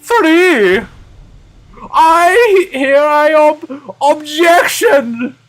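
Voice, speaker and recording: A man voicing an earnest, excitable young man who gets flustered, in a strained, emphatic voice with an attempted German accent, for a game. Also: measured pace, soft background music, full character voice. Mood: nervous, determined. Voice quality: strained, high-pitched.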